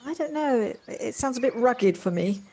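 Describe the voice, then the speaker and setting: A woman playing a reserved city newcomer in an audio drama, speaking formally and haltingly. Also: fairly high pitch, animated, warm tone. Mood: polite, guarded. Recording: noisy home recording on personal device. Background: birdsong.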